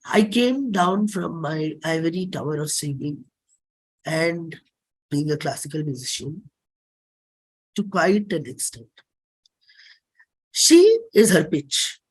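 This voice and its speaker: A woman's voice: low voice, big pitch swings, slow, pauses often, husky, rough recording. Indian-accented woman giving a dramatic reading. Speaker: classical singer and stage performer. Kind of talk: dramatic reading of an autobiographical stage script.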